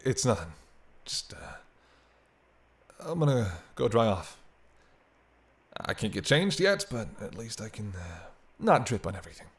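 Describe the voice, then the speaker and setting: A man's voice: lowish voice, big pitch swings, measured pace, long pauses. A man playing a teasing, century-old vampire in an intimate roleplay audio drama, bantering wryly with hesitant asides. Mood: hesitant, defensive. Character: teasing century-old male vampire, sardonic but soft-hearted.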